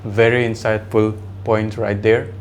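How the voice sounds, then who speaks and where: A man's voice: fairly low pitch, little pitch movement, unhurried, a little hiss or hum. Rambling Indian man in his thirties. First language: Adi. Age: 30s. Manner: rambling, many fillers and restarts. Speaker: vlogger. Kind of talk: reflective casual monologue.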